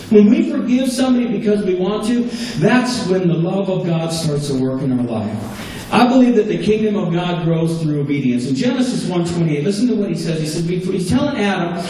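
A man's voice: high-pitched, warm tone. A man preaching a sermon as pastor in a small church, earnest and repeating key phrases for emphasis, recorded from a camera at the back. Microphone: far camera at back of room. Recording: echoey room, distant camera, faint hum.

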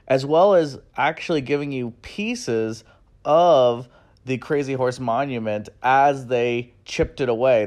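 A man's voice: mid-range voice, a little mellow. A young man talking casually and enthusiastically while he records a road-trip vlog. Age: young adult. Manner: enthusiastic, easygoing. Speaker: travel vlogger. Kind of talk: casual travel vlog with voice-over. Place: outdoors, windy open land. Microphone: phone camera at arm's length. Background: wind.

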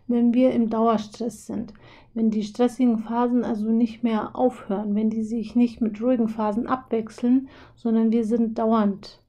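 A young woman with a hoarse, husky voice from a cold, explaining a topic casually over drawings with lots of filler pauses. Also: a little high, mellow. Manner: chatty, many filler pauses. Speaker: video host.

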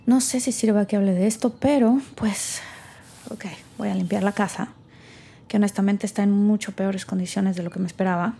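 A woman's voice: medium pitch, steady tone, normal speed, gravelly, warm tone, husky. A woman with a Mexican accent acting a resentful young woman who hesitantly and sarcastically talks to herself in an audio drama. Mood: wry, weary. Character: wry, resentful young woman recording her thoughts alone. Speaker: lead actor in audio drama. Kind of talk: naturalistic audio drama monologue.

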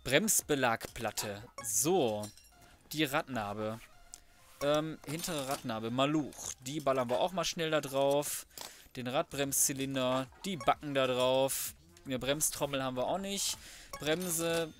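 A man's voice: medium pitch, slow pace, a bit bright, soft background music. A man giving casual, slangy gameplay commentary, chatting through each step as he goes. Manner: chatty, self-narrating, slangy. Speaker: let's player commentating.

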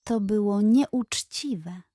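A woman's voice: medium pitch, dry recording, studio quality. Woman playing a young, earnest storybook piglet. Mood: calm, serious. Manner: measured, earnest, gently reproachful. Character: young female pig, naive but earnest, quietly crafty. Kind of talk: children's storybook character voice.

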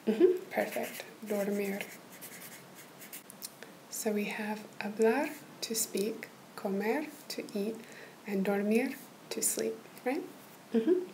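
A woman in a whispered tutoring roleplay, patiently walking a listener through a lesson in a soft, encouraging whisper. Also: medium pitch, slow pace, pauses often, a little hiss or hum, rough recording. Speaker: language tutor.

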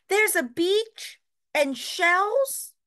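A woman's voice: very animated, drawn-out words, sharp tone, heavily acted. Storybook piglet girl, little, thrilled and squealing, read by a woman. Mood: excited. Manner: squealing, eager and high.

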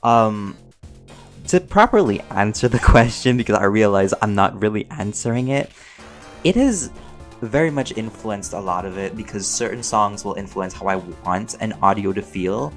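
A man's voice: fairly low pitch, animated, normal speed. A man giving a casual, rambling, playful Q&A as a voice actor, chatty and thinking aloud. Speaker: voice actor and audio creator answering fan questions. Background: music under the voice.

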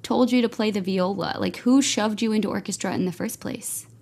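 A woman in her forties hosting a music education podcast, enthusiastic and quick to cheer her guest on over a video call. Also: mid-range voice, gravelly, darkish tone. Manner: enthusiastic, effusive, laughs easily. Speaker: podcast host. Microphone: earbuds on a video call.

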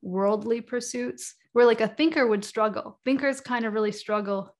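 A woman's voice: a little high, normal speed. A woman in her thirties speaks as a guest in a video-call interview from her home, talking over a webcam. She explains ideas carefully and at length, filling her sentences with "like" and "um". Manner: thoughtful, explains at length with many "like" and "um" fillers. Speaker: guest author on energy healing. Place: home room, indoors.

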